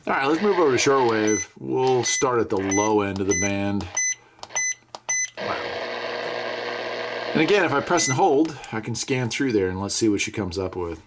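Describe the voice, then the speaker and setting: Folksy American male reviewer, low register, casual and conversational, some background noise. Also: lively intonation, normal speed, pauses often. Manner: folksy, conversational, thinking aloud. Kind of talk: casual hands-on gadget review.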